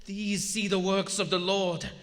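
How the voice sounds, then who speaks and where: Guy playing a freaked out guilty sub lieutenant, high sharp voice, drawn out and dramatic, live stage radio play w/ hall echo. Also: little pitch movement, a little slow. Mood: fervent, remorseful. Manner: anguished, fervent, dramatic. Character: nervous, guilt-ridden, unraveling German submarine lieutenant. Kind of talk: live radio theater acting. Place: on stage in a large theater. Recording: echoey theater hall, live stage recording.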